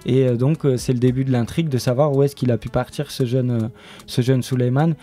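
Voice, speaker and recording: Mellow, hesitant man in interview. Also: medium pitch, fairly even intonation, faint music behind. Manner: hesitant, halting delivery. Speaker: studio member presenting an audio fiction series, interview guest. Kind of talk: spontaneous radio interview.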